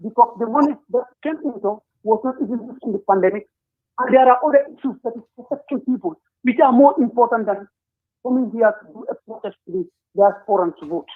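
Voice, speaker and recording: A man, speaking as a panel guest in a political discussion, earnest and deliberate with frequent hesitations. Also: very high-pitched. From Gambia. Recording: tinny phone line.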